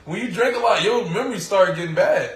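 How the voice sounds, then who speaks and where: A man's voice: high-pitched, fairly quick, reverberant, recorded from a distance. A man co-hosting a podcast, joking around in casual banter. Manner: joking, animated, hypes the crowd. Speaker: podcast co-host.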